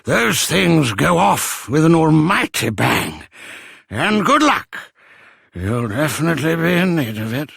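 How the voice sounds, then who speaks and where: Raspy, fussy old eccentric. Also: male voice, on the high side, very animated, a little slow, a bit bright, dry recording, clean studio sound, exaggerated voice. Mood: fussy, helpful. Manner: flustered, exclamatory. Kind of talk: scripted fantasy game voice acting.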